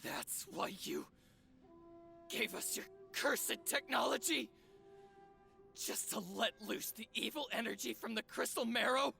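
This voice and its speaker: An actor playing a righteous, defiant wounded man in a video game, speaking in a halting, emotional way. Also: extremely high pitch, vocal fry, sharp tone, faint music behind, exaggerated voice. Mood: shocked, angry. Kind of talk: dramatic video game acting.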